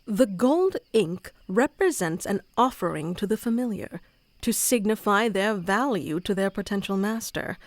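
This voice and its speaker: A woman's voice: medium pitch, animated, unhurried, hardly any pauses, no room sound. A woman voicing an obsessive, clingy and powerful female kitsune familiar in an intimate, theatrical ASMR roleplay. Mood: composed, instructive. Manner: intimate, theatrical, swinging between hushed and pleading.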